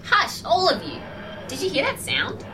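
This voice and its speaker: A woman's voice: high voice, huge pitch swings, moderate pace, noisy background. A woman playing a sarcastic, exasperated young hobbit postgraduate in an amateur comic pantomime. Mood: earnest.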